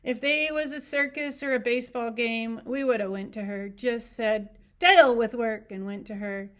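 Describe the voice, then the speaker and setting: A woman's voice: on the high side, a little slow, voice pushed up, natural voice. A woman voicing an old male ranch hand, plaintive and pleading, slipping into a wistful sing-song, as a storybook reading.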